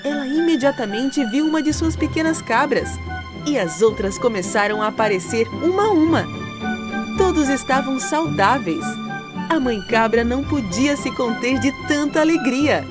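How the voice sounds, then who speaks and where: High, animated woman storyteller. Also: moderate pace. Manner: expressive, dramatic storytelling. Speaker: children's story narrator. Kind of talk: scripted storybook narration. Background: music.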